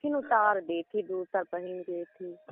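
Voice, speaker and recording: A woman's voice: lowish voice, takes it slowly. A woman talking casually on a private phone call, in colloquial, often short answers. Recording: noisy phone call recording.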